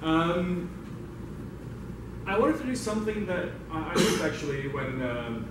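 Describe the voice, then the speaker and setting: A man's voice: on the high side, lively intonation, measured pace. A man from Belgium gives an informal talk as a workshop facilitator, speaking conversationally and engaging his listeners. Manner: conversational, engages the audience with questions. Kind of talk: informal talk to a meetup audience. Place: indoor meetup room. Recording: very echoey, noisy room, far from microphone.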